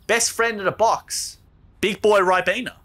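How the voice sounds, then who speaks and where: A man playing a crude, dim, excitable young bloke in a cartoon, with an Australian accent and rambling, slangy talk. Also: sits high, measured pace. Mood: eager.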